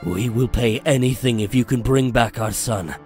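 A man playing an anxious, desperate father in a horror visual novel, halting and strained as he speaks. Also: medium pitch, a little mellow, close to an everyday voice. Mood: pleading, desperate. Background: background music.

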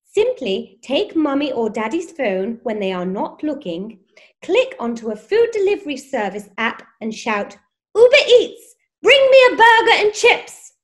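High, swooping, prim children's TV schoolmistress played by an actress. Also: female voice, very animated, measured pace. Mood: mock cheerful. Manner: sing-song, exaggeratedly jolly and condescending. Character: prim, gushing, sinister children's TV schoolteacher woman. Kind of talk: parody children's show presenting.

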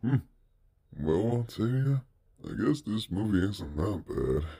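A man voicing a gruff but affectionate hellhound in an amateur comic dub, with a raspy, mellow voice. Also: fairly low pitch, lively intonation, a little slow, exaggerated voice. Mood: tender, relaxed.

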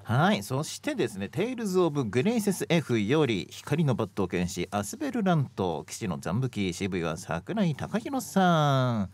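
A man's voice: mid-range voice, huge pitch swings, moderate pace, hardly any pauses, darkish tone, slight background noise. A man hosting a radio show, chatting casually in a playful, teasing way. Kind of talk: casual, joking talk show chat.

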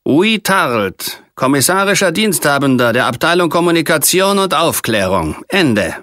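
Guy doing alien officer reading war bulletins in a sci-fi audiobook, higher voice, stern n formal, clipped. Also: big pitch swings, normal speed, a bit bright, no echo, clean studio sound. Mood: stern, official. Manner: formal, emphatic announcer delivery. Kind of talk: acted audiobook character.

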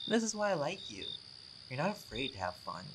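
Man acting as laid-back male vampire, playful, charming, teasing, animated intonation, casual audio roleplay, distinct cricket noise in background. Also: medium pitch, a little fast, natural voice. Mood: easygoing, intrigued. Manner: joking, teasing, often chuckling. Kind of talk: audio roleplay, casual one-sided chat to a friend.

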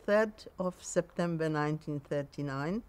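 A woman in her nineties with a Polish accent, recounting memories in a halting way in a sunny garden. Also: low voice, a little mellow, clean tone. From Poland. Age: 90s. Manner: halting, with frequent pauses and restarts. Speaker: interviewee.

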